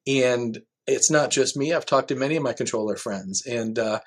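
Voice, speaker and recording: A man's voice: mid-range voice, normal speed. Conversational man as podcast guest. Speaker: air traffic control manager, podcast guest.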